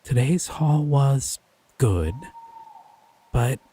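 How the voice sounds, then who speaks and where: A man voicing a gentle, curious male slime creature in an audio roleplay; he is soft-spoken, easily delighted and chuckles often. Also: medium pitch, animated, very slow, breathy edge, no echo, exaggerated voice. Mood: musing.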